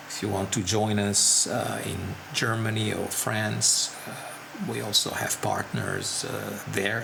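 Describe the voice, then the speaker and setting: Gravelly man explaining slowly. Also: on the low side, noisy background. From Italy. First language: Italian. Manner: slow and careful, many pauses and fillers. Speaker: seminar instructor. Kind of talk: promotional talk to camera.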